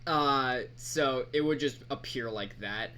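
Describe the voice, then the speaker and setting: A man giving a casual screen-recorded software tutorial, talking in a halting, rambling, informal way. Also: medium pitch. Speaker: mod creator presenting tutorial. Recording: background noise, keyboard typing.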